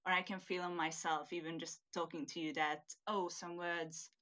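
Young Hungarian woman, lower voice, chatty. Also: flat delivery, normal speed, pushed and bright. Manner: chatty, laughs often, searches for words. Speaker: interviewee, student. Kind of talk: casual conversation.

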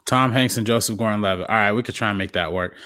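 A man's voice: lowish voice, fast, bright, forceful tone, no room sound, studio quality. A man guest on a casual podcast, thinking aloud with many ums and restarts.

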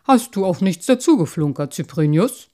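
A woman voicing a proud, kindly water-sprite father in a storybook, speaking in a measured way. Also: lowish voice, animated, dark tone, lightly acted. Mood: proud, questioning. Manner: measured, appreciative. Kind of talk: storybook character voice.

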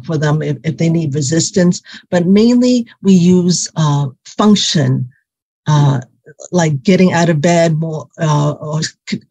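A woman physical therapist answering interview questions in a halting way, with many fillers and restarts, whose first language is Cantonese. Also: sits low, a little mellow, breathy edge, no echo.

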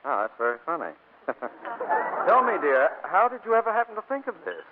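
Lively boastful flirt of a bachelor, stammering and eager, vintage radio comedy, tinny sound. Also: male voice, a little high, normal speed, very noisy. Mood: bewildered, amused. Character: boastful, amorous bachelor neighbor, cheerfully bewildered. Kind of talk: 1940s radio comedy acting. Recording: tinny old radio recording.

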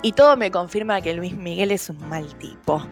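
A woman's voice: fairly low pitch, moderate pace, soft background music. A woman with a Rioplatense Spanish accent, co-hosting a casual podcast chat, slangy and full of fillers. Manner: chatty, slangy, frequent fillers. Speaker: podcast co-host.

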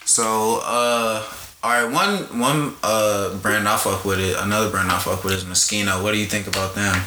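A man's voice: on the low side, fairly even intonation, moderate pace, sharp tone. A man hosting a casual chat about fashion, slangy and teasing, with lots of swearing. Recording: echoey room with background noise.

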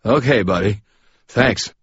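A man's voice: fairly low pitch, slow pace, fairly bright tone, slightly rough, no echo, lo-fi sound, voice pushed up, exaggerated voice. A man voicing a belligerent, drunken middle-aged electrician who slurs his words with mushy sibilants. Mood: slurry. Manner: slurred, mushy sibilants, surly.

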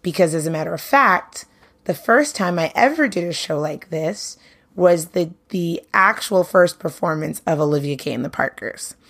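A woman's voice: on the low side, animated, normal speed, fairly bright tone. Chatty New woman hosting a podcast. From Brooklyn, New York. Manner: chatty, upbeat, casual phrasing with many "you know"s. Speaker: podcast host, singer and bandleader. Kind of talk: casual solo podcast monologue.

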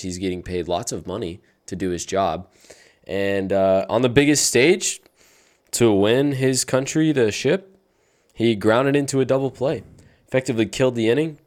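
A man's voice: fairly low pitch, a little mellow. A young man co-hosting a casual sports podcast, talking with hype and enthusiasm. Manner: enthusiastic, hypes up segments. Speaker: podcast co-host.

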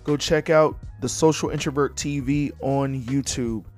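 A man hosting his own podcast in a loose, blunt, joking way, his voice going hoarse. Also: medium pitch, fairly even intonation, measured pace, a little mellow, faint music behind. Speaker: podcast host. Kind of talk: casual solo podcast talk.

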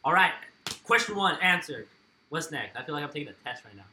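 Lively bright-voiced young man. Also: a little high, fairly quick, a little hiss or hum. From Mexico. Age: 20s. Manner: laid-back, rambling, swears freely. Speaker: podcast guest. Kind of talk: casual podcast chat.

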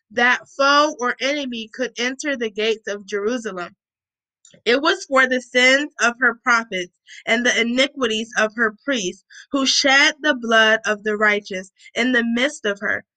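A woman with a bright, slightly high voice reads scripture aloud at an unhurried pace. She is informal about it, breaking off for casual asides and correcting herself as she goes. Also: rough recording. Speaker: host reading scripture aloud with commentary. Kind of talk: Bible reading with casual asides.

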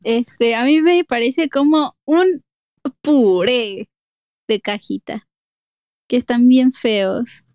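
This is a young woman with a Mexico City accent, talking casually as she reviews a film with friends. Her voice sits a bit high and mellow. The sound is dry and close, and her speech is loose and full of laughter. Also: clear, resonant tone, no echo, highs cut off. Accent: Mexico City Spanish. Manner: chatty, laughs often, drawn-out fillers. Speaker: podcast co-host.

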